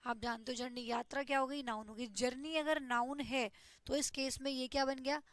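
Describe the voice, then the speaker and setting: A woman's voice: high voice, steady tone, moderate pace, no echo. A woman teaches an online English grammar class, explaining step by step and checking in with "ठीक है". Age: 20s.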